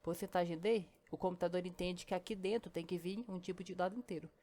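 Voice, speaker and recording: A woman's voice: fairly low pitch, fairly even intonation, quick pace, mellow. A woman teaching programming in a casual screen-recorded lesson, chatty and step by step, often saying "ó" and "né".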